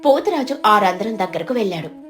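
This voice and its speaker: A woman's voice: mid-range voice, lively intonation, brisk. A woman giving a scripted narration of a moral story as its storyteller.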